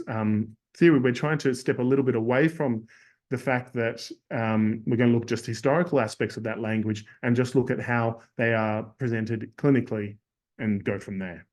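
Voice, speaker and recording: A hoarse man giving a webinar lecture on acupuncture, thoughtful and full of fillers and restarts. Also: mid-range voice, darkish tone.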